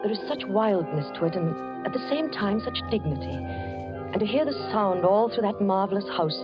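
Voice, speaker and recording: A woman's voice: medium pitch, expressive, a little fast, natural voice. Genteel young lady, woman playing her in an old radio drama, gushy, muffled old broadcast, music going. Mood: letter. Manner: gushing, letter-reading narration. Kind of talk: 1940s radio acting.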